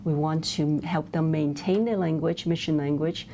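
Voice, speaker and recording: A woman with a very low, gravelly voice and a dark tone explains how her language program works. She talks in an interview style, pausing on fillers, over faint background noise. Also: fairly even intonation. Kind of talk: interview answers.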